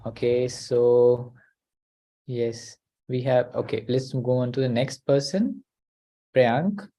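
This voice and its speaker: A man's voice: medium pitch, slow pace, dark tone, low-quality recording. A man hosting an online class over a video call. He talks hesitantly, with many uh and you know.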